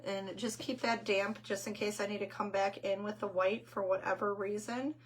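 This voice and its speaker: Older woman, craft host, hoarse and squeaky, rambling chat, medium pitch, noisy home room. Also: steady tone, moderate pace. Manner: chatty, rambling, reads viewer comments aloud. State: hoarse, losing her voice. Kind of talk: casual livestream chat while painting.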